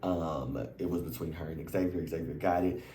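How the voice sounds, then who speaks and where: Low-voiced chatty recap host. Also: male voice, normal speed, roomy sound. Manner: animated and chatty, slangy, leaps into high exclamations. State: voice a little worn. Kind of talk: casual chat to camera.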